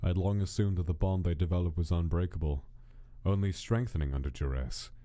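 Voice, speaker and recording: Man acting a very deep-voiced, clinical scientist. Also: dark tone, close to an everyday voice. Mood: brooding, grave. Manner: measured, formal, detached. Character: cold, clinical male scientist narrating his experiment log. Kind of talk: scripted dramatic monologue in a comic dub. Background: music behind the voice.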